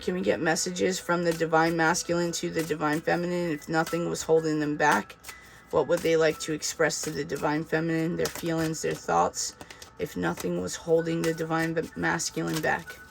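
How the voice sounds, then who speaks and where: A man's voice: fairly high pitch, vocal fry, background noise. Chatty man with a light Boston accent. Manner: chatty, reassuring, with frequent fillers and laughs. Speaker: tarot reader. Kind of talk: casual tarot reading monologue.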